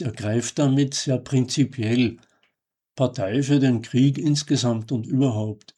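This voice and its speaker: A man reading a scripted commentary as a radio host, in a measured, formal and emphatic way. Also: medium pitch, dark tone, breathy edge.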